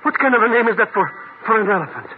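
An actor playing an excitable, hapless comic sidekick with a blustering wise-guy manner in a 1950s radio drama. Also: sits high, racing. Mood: flustered, impatient. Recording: tinny old radio recording, loud music.

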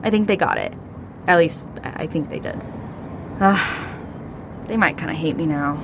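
Breezy, cocky young band member, animated. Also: female voice, sits low, normal speed, noisy background. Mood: uneasy. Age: young adult. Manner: casual, slangy, glib. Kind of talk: naturalistic audio drama acting.

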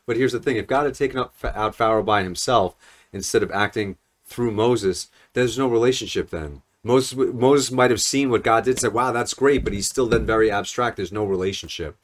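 A man's voice: fairly low pitch, moderate pace. A man in a casual Bible discussion, affirming and finishing his partner's points. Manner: affirming, chimes in and finishes the other man's points.

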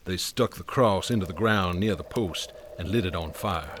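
An actor playing a grim, weary police inspector, recounting events in a plain-spoken, folksy way for a radio drama. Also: male voice, deep voice, moderate pace, heavy background noise, lightly acted. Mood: grim narration. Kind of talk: radio drama first-person narration.

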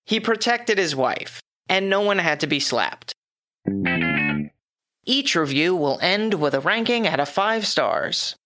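A man hosting his own podcast, reading a scripted movie review with dry, wry asides, his voice a little hoarse from a cough. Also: sits high, animated, slow pace, over music. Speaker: podcast host.

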